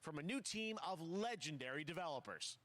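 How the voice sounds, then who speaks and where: A man's voice: on the high side, expressive, brisk, a bit bright. A man hosting a live showcase, presenting announcements and interviewing guests. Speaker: event host and interviewer.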